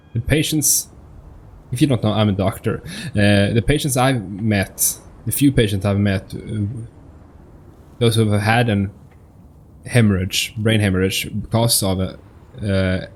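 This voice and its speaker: Male let's-player, lowish voice, casual and halting commentary with faint game ambience behind. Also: darkish tone, a little hiss or hum. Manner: hesitant, searching for words, repeats himself. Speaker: gaming host, let's-player.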